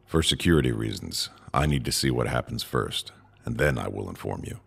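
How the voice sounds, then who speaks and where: A man playing a curt, weary, authoritative alien leader in a sci-fi audio drama, with a deep, gravelly, husky voice. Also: expressive, brisk, a bit bright, full character voice. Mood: grave, firm. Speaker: voice actor.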